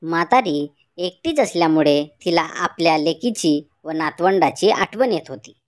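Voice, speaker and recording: A woman's voice: low-pitched, lively intonation, a little slow. A woman reading scripted narration for a children's folk tale as its storyteller.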